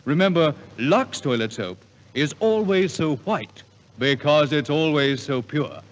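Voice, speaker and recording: A man's voice: fairly high pitch, lively intonation, measured pace. A man reading a 1950s radio advertisement as an announcer, with an emphatic, polished sales delivery. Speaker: commercial announcer. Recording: muffled, reverberant 1950s radio recording.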